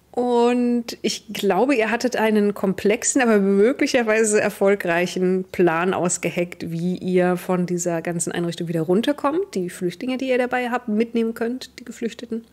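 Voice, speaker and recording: A woman's voice: mid-range voice, a little mellow. A young adult woman, recapping a tabletop role-playing game to her players as game master on a video call.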